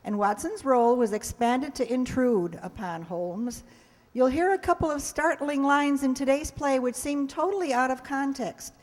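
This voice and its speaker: A woman's voice: on the high side, darkish tone. A middle-aged woman introducing a live show from a script, folksy and lightly joking. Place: hall stage before an audience. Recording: reverberant hall, live stage recording.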